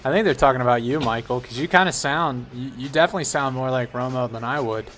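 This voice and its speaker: Male color commentator, mid-pitched voice, chatty and rambling, casual delivery. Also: fast talker, fairly bright tone, slight background noise. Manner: chatty, rambling, with frequent restarts and "you know".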